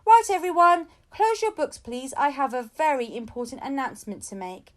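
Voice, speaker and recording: A woman voicing a brisk, commanding female primary school teacher addressing her class in an audiobook. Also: sits high, animated, pitched above the performer's own voice. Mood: announcing, firm.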